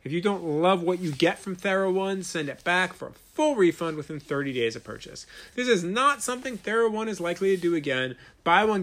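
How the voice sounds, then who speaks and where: Higher-voiced man hosting a sports podcast. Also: fairly bright tone. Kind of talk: solo sports podcast commentary with host-read ads.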